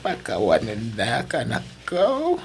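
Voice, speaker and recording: A man's voice: medium pitch, huge pitch swings, slight background noise. A man acting a frail, ailing old father in an audio drama, speaking weakly and tearfully, full of remorse. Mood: weak, remorseful. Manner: weak, halting, tearful delivery. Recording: music behind the voice.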